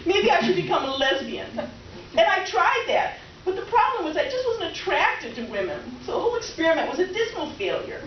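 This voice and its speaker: A woman's voice: very high voice, big pitch swings, brisk. A woman playing a dramatic, scatterbrained middle-aged houseguest who rambles and overshares in a comic stage reading. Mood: confiding. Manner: rambling, self-absorbed, theatrical. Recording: distant echoey room, muffled, faint noise.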